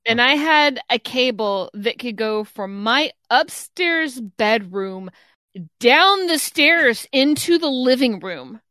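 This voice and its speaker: A woman co-hosting a podcast with a young-sounding voice, blunt and animated in casual chat, swearing freely. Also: fairly high pitch, slow, no room sound. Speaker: podcast co-host.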